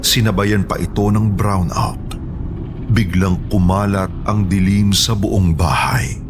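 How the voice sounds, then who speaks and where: A man's voice: deep voice, fairly even intonation, slow, background noise. A man with a Filipino accent reading scripted first-person horror narration.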